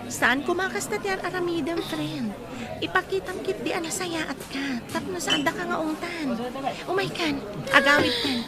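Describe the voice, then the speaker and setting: An actress playing a pushy young party friend who coaxes insistently, with an Ilocano accent. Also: female voice, very high-pitched, moderate pace, a loud crowd. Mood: coaxing.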